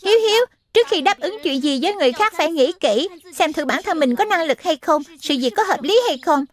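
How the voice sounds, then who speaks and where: A woman's voice: high-pitched, expressive, a little fast. A woman voicing a wise young creature, an instructive big brother figure in a dubbed children's cartoon, with an admonishing manner. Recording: echoey, music behind the voice.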